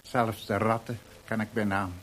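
An actor in a radio play voicing a scornful, moralising, nosy theatre official, disdainful and knowing. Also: male voice, lowish voice, little pitch movement, moderate pace, a bit bright, lightly acted. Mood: smug, knowing. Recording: noisy archived radio play recording.

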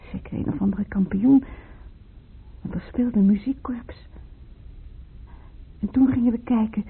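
An actress in a radio drama playing a grieving, weary psychiatric patient who speaks haltingly, fixated on her dreams. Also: fairly high pitch, breathy edge, heavily acted. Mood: agitated, dreamy. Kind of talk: Dutch radio drama acting. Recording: tinny, band-limited, hissy radio play recording.

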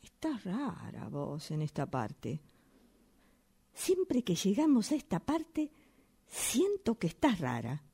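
A woman's voice: medium pitch, wildly swooping pitch, takes it slowly, gravelly, dark tone, breathy edge, exaggerated voice. An actress playing a stern, domineering woman in a radio play, with a Rioplatense Spanish accent and an emphatic, insistent delivery. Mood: stern, probing. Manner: emphatic, repeats phrases insistently. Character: stern, domineering, defensive woman, sure of herself. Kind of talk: radio theatre acting, workshop fiction.

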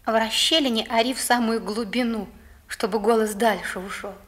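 A woman's voice: on the high side, steady tone, normal speed, a bit bright. A woman playing an earnest, eager young girl in a 1960s radio drama. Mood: earnest, instructing. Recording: vintage 1960s radio play recording.

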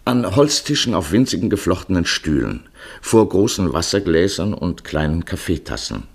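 Deep, husky male radio play narrator. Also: big pitch swings, normal speed.

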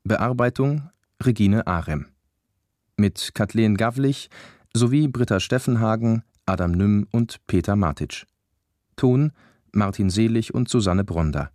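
Low-voiced sober male radio announcer. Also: lively intonation, a little slow, darkish tone, no room sound, clean studio sound. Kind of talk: scripted radio drama narration and credits.

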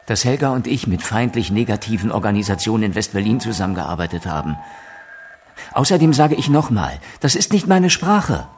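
A man's voice: fairly low pitch, very animated, brisk, a little hiss or hum. An actor playing a defiant East German man under interrogation, terse and guarded, in a radio play. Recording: music under the voice.

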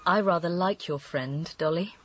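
An actress playing a candid, world-weary English widow in a radio drama, confiding and matter-of-fact. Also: female voice, lowish voice, moderate pace, a bit bright, breathy edge. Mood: wry.

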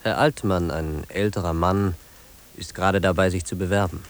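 An actor playing a cool, faintly wry guide who narrates a radio play in a measured, matter-of-fact way. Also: male voice, deep voice, fairly even intonation. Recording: hissy old radio play recording.

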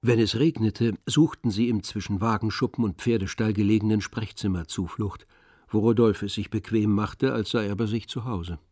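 A man playing the composed, observant narrator of a literary radio drama, with measured delivery. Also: lowish voice, steady tone, a little mellow, no room sound, clean studio sound, close to an everyday voice.

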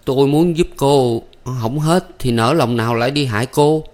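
A man's voice: medium pitch, normal speed, pitched above the performer's own voice, natural voice. A man voicing a timid, lovestruck farmhand in an audiobook, hesitant and plaintive, with a southern Vietnamese accent. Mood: pleading.

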